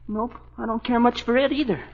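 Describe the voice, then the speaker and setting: A man's voice: very high-pitched, fairly even intonation, fairly quick. A man playing a naive, polite, dim-witted American office boy with a scratchy adolescent voice in an old radio comedy drama. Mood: earnest. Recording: dull old radio recording.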